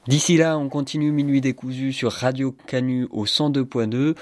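A man hosting a community radio show, presenting in a relaxed, unhurried way. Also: mid-range voice, no room sound.

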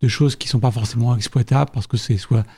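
A man talking as a guest in a casual radio interview, halting and repeating his words. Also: medium pitch, steady tone, dark tone, slightly rough. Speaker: radio guest, project member.

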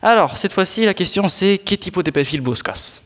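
A man's voice: high-pitched, lively intonation, normal speed, fairly bright tone. A young man in his teens playing an exasperated, sardonic squire in a student audio drama, grumbling in a slangy way. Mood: scolding. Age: teenager.

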